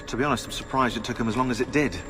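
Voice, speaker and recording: An actor playing a dry, matter-of-fact team member in a game cutscene. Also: male voice, mid-range voice, fairly even intonation, very fast, long reverb tail, over music, natural voice.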